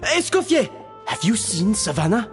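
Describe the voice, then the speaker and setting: A woman's voice: medium pitch, sing-song, moderate pace, big-room sound, faint music behind, lightly acted. A woman playing an earnest, concerned young colleague in an anime adventure game, speaking expressively. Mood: worried.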